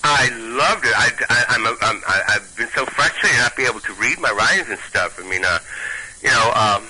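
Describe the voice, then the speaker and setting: A man's voice: mid-range voice, expressive, moderate pace, a crowd in the background, low-quality recording. A man with a Brooklyn accent, a humorist and stand-up comic, reading his own comic writing aloud and chatting in a wry, self-mocking way that stammers when he is offhand. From Brooklyn, New York. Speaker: guest, humorist and stand-up comedian.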